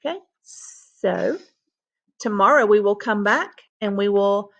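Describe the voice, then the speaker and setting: A woman's voice: mid-range voice, slow, vocal fry, a bit bright, lo-fi sound. A woman teacher reading a folktale aloud to students and stopping to explain words with patient restarts.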